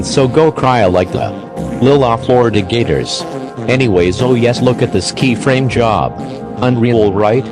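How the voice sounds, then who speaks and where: Lowish guy voice, sarcastic fake warning narration, music going loud underneath. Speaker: narrator of a parody emergency alert. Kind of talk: scripted comic alert narration. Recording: music playing behind the voice. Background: loud background music.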